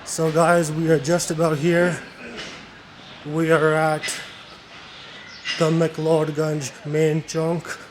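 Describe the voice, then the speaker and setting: A young-sounding man from Canada talks to his camera as he walks, slow and fairly even in tone. His voice sits a little high for a man. Faint street traffic hums behind him. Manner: relaxed, unhurried narration to camera. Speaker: vlogger. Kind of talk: casual walking vlog. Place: outdoors on narrow town streets.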